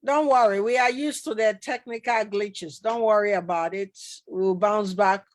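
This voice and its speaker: A woman's voice: medium pitch, normal speed. A woman hosting an online talk show, chatty and welcoming, with many fillers and restarts. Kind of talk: casual interview hosting.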